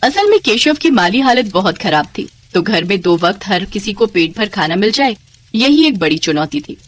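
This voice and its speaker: Female narrator for children's tales; animated, expressive scripted delivery, mid-pitched. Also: moderate pace. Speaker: storyteller.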